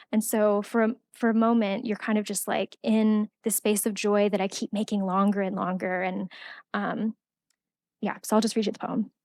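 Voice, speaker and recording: A woman's voice: a little high, no room sound, clean studio sound. A young woman poet reading her poem aloud and then giving a casual talk with many fillers at a college event.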